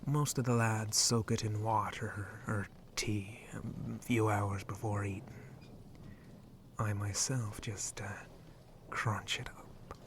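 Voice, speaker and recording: A man acts out a roguish shark pirate captain in an ASMR roleplay, over clearly audible background noise. The voice is lowish and breathy, often dropping to a whisper. He drawls slowly in teasing, flirty pirate speech. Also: steady tone, a bit bright. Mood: easygoing, instructive. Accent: put-on pirate speech. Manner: slow, teasing, drawling pirate talk with low chuckles. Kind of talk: ASMR roleplay addressed to the listener.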